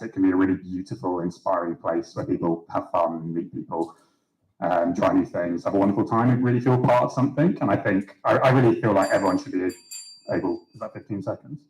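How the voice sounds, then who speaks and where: A man's voice: fairly low pitch, a little mellow, echoey room, low-quality recording. A young man standing in a student election, speaking confidently and persuasively in a speechlike way. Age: young adult. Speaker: student election candidate, chair of council. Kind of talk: timed candidate answer at a hustings. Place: students' union event room.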